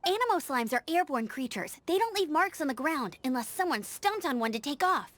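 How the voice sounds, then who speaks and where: A woman's voice: sits high, sing-song, a little fast, fairly bright tone, no echo. A woman voicing an eager young girl scout in video game dialogue, earnest and upbeat as she urges others on. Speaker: outrider.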